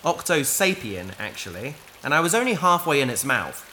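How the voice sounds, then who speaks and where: A woman playing a patient, wry adventurer in a sci-fi audio drama, dryly and gently correcting an AI companion. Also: extremely low pitch, very animated, moderate pace, slight background noise, exaggerated voice.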